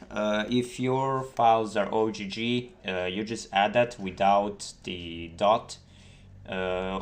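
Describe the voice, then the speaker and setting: A low-voiced man talks through a casual software tutorial in a halting, informal way. There is clear but light noise, with keyboard typing and mouse clicks behind him. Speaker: game developer giving a tutorial. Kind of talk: casual screen-recorded tutorial. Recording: noisy desktop mic with key clicks.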